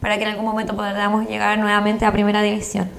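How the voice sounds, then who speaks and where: A woman's voice: medium pitch, monotone, racing, gravelly, slight background noise. A woman with a Chilean accent speaking politely and formally as a regular on a live radio show.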